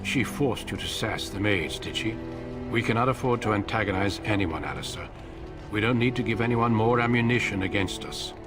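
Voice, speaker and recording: An actor playing a measured, authoritative male leader of a warrior order in a video game, with music behind. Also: fairly low pitch, close to an everyday voice. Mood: stern. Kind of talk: video game dialogue acting.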